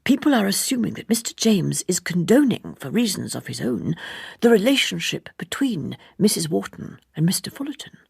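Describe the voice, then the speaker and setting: A woman reading an audiobook, voicing an earnest, fussy gentleman who speaks formally and insistently in roundabout sentences. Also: on the low side, very animated, moderate pace, gravelly, slightly rough, no room sound, studio quality, full character voice. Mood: grave, insinuating. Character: earnest, fussy, gossiping gentleman, gravely indignant. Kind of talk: audiobook character dialogue.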